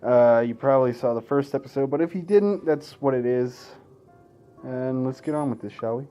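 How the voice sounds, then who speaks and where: A man's voice: mid-range voice, normal speed, warm tone, highs cut off, faint music behind. A man hosting a let's play in an informal, sweary way, mixing casual gaming commentary and asides with game text read aloud.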